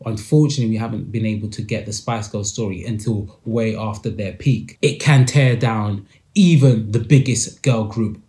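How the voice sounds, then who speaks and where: A man's voice: on the low side, normal speed. A young man, hosting a podcast and commenting emphatically to camera from a small room. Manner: animated, emphatic with hand gestures. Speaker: podcast host.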